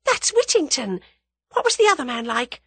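Woman voicing an excitable, high-pitched young English woman. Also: normal speed, creaky, no echo, full character voice. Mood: excited. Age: young adult. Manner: bright, emphatic, excitable. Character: bold, impulsive, scheming young English woman. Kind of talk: dramatised audiobook reading.

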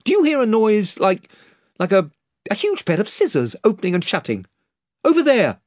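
A man's voice: high voice, huge pitch swings, moderate pace, a little mellow, no echo, studio quality, voice pushed up, heavily acted. A man voicing a nervous young boy who stumbles over his words. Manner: jittery, stumbling.

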